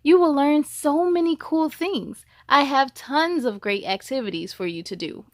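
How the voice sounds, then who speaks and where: A woman voicing a kind but gently firm first-grade teacher in a storybook, addressing a class politely. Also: sits high, measured pace, no echo, studio quality. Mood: welcoming.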